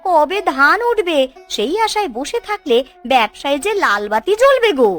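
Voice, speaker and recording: A woman's voice: high-pitched, sing-song, normal speed, a bit bright, soft background music. A woman voicing a practical village wife who keeps shop in a cartoon, chatty, polite but firm. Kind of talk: Bengali cartoon dubbing.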